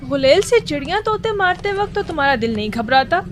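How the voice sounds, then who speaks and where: A fretful mother in a radio play. Her voice is very high, with a nagging edge, and faint noise sits behind it. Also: big pitch swings, fairly quick. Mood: fed up. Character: fed-up, worrying housewife mother of a naughty schoolboy. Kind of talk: Urdu radio drama acting.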